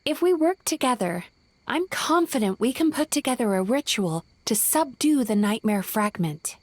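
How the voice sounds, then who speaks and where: A woman voicing a gentle, modest dream scholar in a video game, hesitant and thoughtful. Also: fairly high pitch, animated, normal speed, no room sound. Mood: bashful, confident. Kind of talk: scripted video game voice acting. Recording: music behind the voice.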